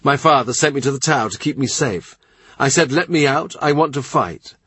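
A man's voice: medium pitch, brisk, fairly bright tone, husky, dry recording, pitched above the performer's own voice. A man doing a blunt, boastful king. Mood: reminiscing. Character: male king, middle-aged, blunt and boastful.

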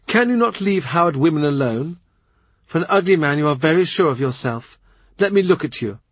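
A male narrator voicing an imperious, sharp-tongued pregnant young queen in an audiobook, his voice pitched up and husky. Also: animated, fairly quick, no echo. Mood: scornful.

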